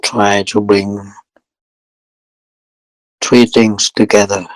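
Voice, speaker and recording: Male meditation guide, lowish voice, flat calm delivery in short measured phrases, close dry sound. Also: dry recording, poor audio. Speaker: meditation teacher leading a guided session.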